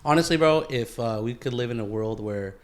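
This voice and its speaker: A man's voice: medium pitch, brisk. A man co-hosting a podcast in casual, slangy banter, swearing freely and peppering his talk with bro and dude. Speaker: podcast co-host. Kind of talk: casual banter between friends.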